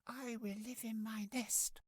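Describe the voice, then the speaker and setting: A small, gentle storybook bird acted out by a man speaks in a high, breathy falsetto. The delivery is soft, careful and kindly, in a very dry recording. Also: little pitch movement, takes it slowly, no echo, exaggerated voice.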